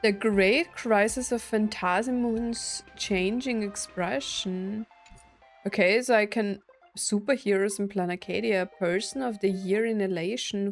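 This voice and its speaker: A woman's voice: mid-range voice, slow, creaky. A woman streaming a video game with casual commentary, thinking aloud and reading on-screen text out. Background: video game music and dialogue.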